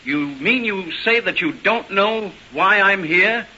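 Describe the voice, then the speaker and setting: Shrill, brash old-radio newshound. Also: male voice, takes it slowly, full character voice. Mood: brash, pushy. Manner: pushy, excitable, slangy. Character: brash, eager, wisecracking American newspaper reporter. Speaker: actor in a radio play. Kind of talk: old-time American radio drama acting. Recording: hissy, dull old radio recording.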